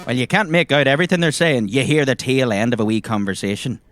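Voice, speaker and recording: A man's voice: medium pitch, fast, fairly bright tone, faint music behind. A man with an Irish accent narrating a tabletop game as game master in a descriptive, conversational way.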